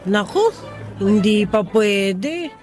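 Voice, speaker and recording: A woman's voice: mid-range voice, very animated, drawn-out words, a little mellow, soft background music. A woman playing a blunt, joking tomboyish young mechanic in a radio drama. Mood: bantering.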